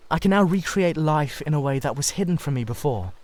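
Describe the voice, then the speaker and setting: A man's voice: fairly high pitch, lively intonation, darkish tone, lightly acted. A man voicing an intense, idolising painter who speaks in an earnest, confessional way for a dramatised storybook reading. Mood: devoted, earnest. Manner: fervent, halting, confessional. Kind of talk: dramatised literary audiobook reading.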